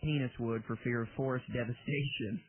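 Deadpan male radio host. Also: mid-range voice, fairly quick, slightly rough. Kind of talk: comic talk radio banter. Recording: phone-like lo-fi radio broadcast.

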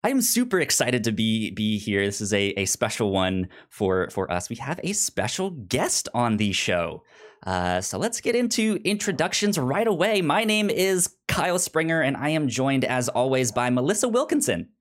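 A man's voice: medium pitch, sing-song. A man hosting a podcast in a casual interview style, often stumbling and repeating words as he talks. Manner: halting, stammering over words. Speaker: podcast host.

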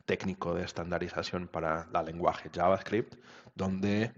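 A low-pitched man talks through his answers in an interview, restarting phrases and checking in with little tag questions. His voice comes over a video call with dull, lo-fi sound. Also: steady tone, measured pace. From Portugal. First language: Portuguese. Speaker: guest, web standards expert.

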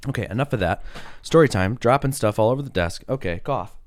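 A man hosting a casual solo podcast, sick with a cough that has deepened his voice, rambling with many fillers and restarts. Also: animated, a little fast, no room sound. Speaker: podcast host.

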